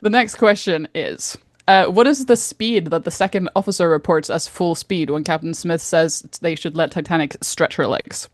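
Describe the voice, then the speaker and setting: A woman's voice: fairly low pitch, moderate pace, few pauses, dry recording, clean studio sound. A woman co-hosting a podcast, chatting casually in English as she runs a quiz, teasing and informal. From Norway. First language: Norwegian. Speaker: podcast co-host.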